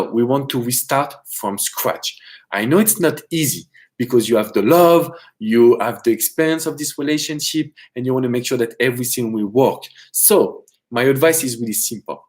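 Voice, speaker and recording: A man with a strong French accent, a relationship coach giving advice on a live stream and greeting viewers by name. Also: medium pitch.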